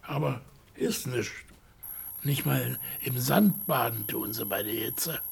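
An actor playing a folksy, rambling village man with a husky, gravelly voice and Berlin-Brandenburg accent in a radio play. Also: medium pitch, slow pace, exaggerated voice. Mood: sorrowful storytelling. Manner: rambling, colloquial storytelling. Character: folksy rural village man mourning his favourite hen. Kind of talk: naturalistic radio play acting.

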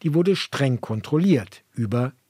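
A man co-hosting a history program, explaining in a measured way. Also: mid-range voice, lively intonation, a little mellow, slightly rough, no room sound, clean studio sound. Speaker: co-host of a history podcast. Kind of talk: explanatory radio history narration.

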